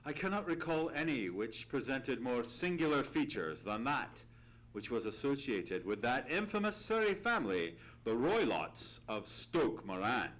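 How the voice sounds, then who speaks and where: A man playing a genial, fussy English gentleman storyteller in a hearty, theatrical old-time radio drama style. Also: medium pitch, big pitch swings, measured pace, low-quality recording. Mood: reflective storytelling. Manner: hearty, theatrical, repeats phrases. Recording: live theatre stage recording.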